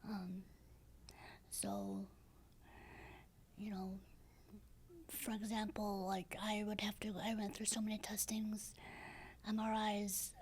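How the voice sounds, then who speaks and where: A woman in her thirties with a low, raspy, breathy voice, speaking softly and hesitantly as a podcast guest, with many fillers and restarts. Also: monotone, takes it slowly, pauses often, a little hiss or hum. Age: 30s. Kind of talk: casual interview talk.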